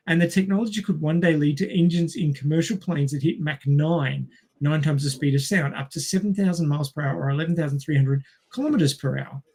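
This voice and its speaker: A man's voice: fairly high pitch, a little mellow, slightly rough. A man with an Australian accent hosting a casual quiz among friends, reading out questions and chatting. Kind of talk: casual group quiz chat.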